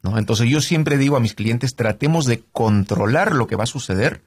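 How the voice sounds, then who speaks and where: Husky-voiced lawyer explaining in a steady manner. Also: male voice, medium pitch, normal speed, no echo. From Canada. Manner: explanatory, frequent fillers and tag questions. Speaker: trial lawyer guest. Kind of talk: conversational interview.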